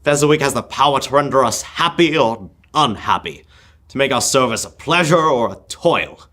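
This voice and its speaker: A young performer as halting, emotional old miser, swooping delivery. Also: male voice, mid-range voice, animated, a bit bright, close to an everyday voice. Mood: defensive, troubled. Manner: halting, exclamatory, stammering with emotion. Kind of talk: old-time radio play acting. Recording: music under the voice. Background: music.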